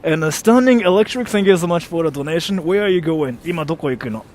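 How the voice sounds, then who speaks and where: American male streamer in his twenties, light joking delivery, voice a touch high, faint noise in the background. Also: lively intonation, fast, a little mellow. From United States. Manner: joking, self-mocking, reads chat aloud. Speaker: streamer reading donations. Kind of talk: casual live stream chat.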